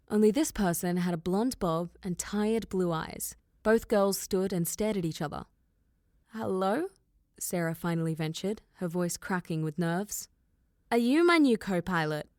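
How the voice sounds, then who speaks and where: A woman's voice: on the low side, darkish tone, no room sound, clean studio sound. A woman reading scripted fiction as an audiobook narrator.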